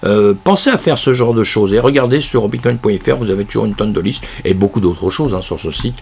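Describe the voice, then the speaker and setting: A man with a cold, hoarse and congested, commenting casually on crypto news in a rambling, chatty way, with lots of fillers and restarts. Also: fairly low pitch, animated, brisk, a little mellow. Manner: chatty, addresses viewers as friends.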